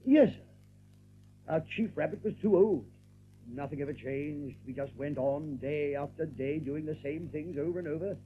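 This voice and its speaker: Rabbit captain male actor: highish, hesitant, evasive, stammering under questioning; audio drama, noisy old-sounding recording. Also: measured pace, natural voice. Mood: uneasy, sombre. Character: nervous male rabbit captain hiding a secret. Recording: muffled lo-fi tape with background noise.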